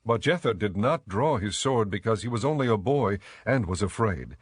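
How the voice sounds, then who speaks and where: A man narrating scripture as a scripted audiobook in a measured, solemn manner. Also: on the low side, sing-song, slightly rough, dry recording.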